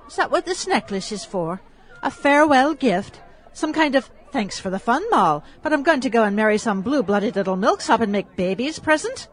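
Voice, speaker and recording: A theatrical, hot-tempered mistress in a radio drama, acted by a woman. Her voice sits fairly high and swings widely, with sarcastic, melodramatic delivery and a vengeful edge. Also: expressive, normal speed, hardly any pauses, lightly acted. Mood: hurt, indignant. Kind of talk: audio drama acting.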